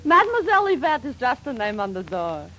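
An actress playing a brassy, middle-aged wisecracking shopkeeper with a New York Yiddish accent, in 1940s radio comedy style. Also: female voice, high voice, a little fast, heavily acted. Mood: teasing, wry. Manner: wisecracking, teasing, animated. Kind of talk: 1940s radio sitcom acting. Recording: noisy old radio recording, studio audience.

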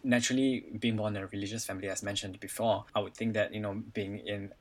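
A man's voice: fairly low pitch, steady tone, fast talker. A man in his twenties with a Singaporean accent, talking casually as a podcast guest in a hesitant, filler-heavy way. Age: 20s. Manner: hesitant phrasing with frequent "you know" and "like". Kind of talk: casual personal conversation.

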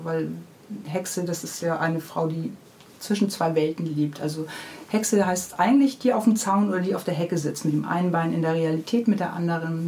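Woman writer chatting in an interview, voice on the low side, bit of background noise. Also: dark tone. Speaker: children's book author being interviewed. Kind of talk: unscripted conversational interview answers.

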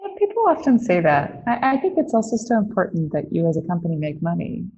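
A woman's voice: on the low side, big pitch swings, quick pace, a little mellow, husky, highs cut off. A woman from the Netherlands, a company founder answering interview questions, thinking aloud with many "like"s and restarts. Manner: lots of "like" and restarts, thoughtful.

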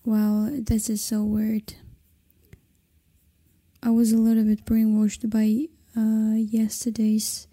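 A woman's voice: fairly high pitch, mellow. A woman in her late twenties from China, a native Kazakh speaker, hosting a casual solo podcast in English and talking hesitantly with many fillers and restarts. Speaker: podcast host.

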